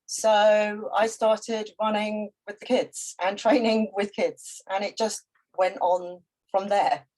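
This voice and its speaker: A woman from Britain, a guest ultrarunner, talking about herself in a relaxed, chatty way on a video call. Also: medium pitch, slow, poor audio. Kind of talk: conversational interview.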